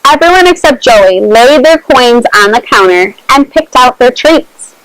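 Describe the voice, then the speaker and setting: A woman reading a storybook aloud to children in an expressive, measured way, adding her own small asides. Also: high voice, no room sound, poor audio.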